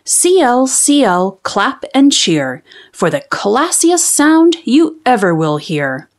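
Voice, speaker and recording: A woman reading a children's storybook aloud, with expressive, deliberate enunciation for young children. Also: on the high side. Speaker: children's read-aloud host.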